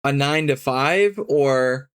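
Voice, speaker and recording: Male game master, bright and smooth, very dry close sound, explains rules off the cuff. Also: mid-range voice, slow pace, no room sound. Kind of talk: improvised tabletop game narration and rulings.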